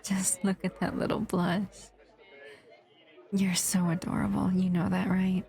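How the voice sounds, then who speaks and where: A woman acts out a doting, possessive female monster in an intimate audio roleplay. Her voice is lowish and gravelly with fry, often breathy and close to a whisper. She takes her time, lingering softly over her words as a protective lover would. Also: flat delivery. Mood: fond, teasing. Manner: tender, unhurried, doting murmur.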